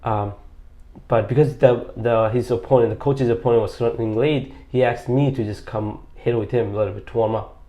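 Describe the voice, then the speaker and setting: A man's voice: medium pitch, fairly even intonation, normal speed, muffled recording. Rambling, hesitant young man from Bangladesh. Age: late 20s. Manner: rambling, hesitant, many restarts and fillers. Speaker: interview guest, squash coach. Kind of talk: casual interview storytelling.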